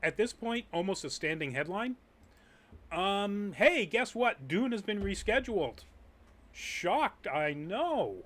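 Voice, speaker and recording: A man's voice: high-pitched, big pitch swings, slow, a bit bright. A man hosting a live chat show, upbeat and often laughing as he runs through the news. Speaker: host.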